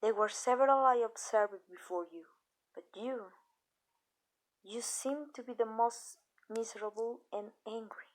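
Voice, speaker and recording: A woman playing a calm, possessive vampire owner in an ASMR roleplay, speaking softly and deliberately with pauses. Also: on the high side, lightly acted. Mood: soft, condescending. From Mexico. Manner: measured, deliberate, soft-spoken with pauses. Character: calm, possessive female vampire owner addressing her human. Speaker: amateur voice actress.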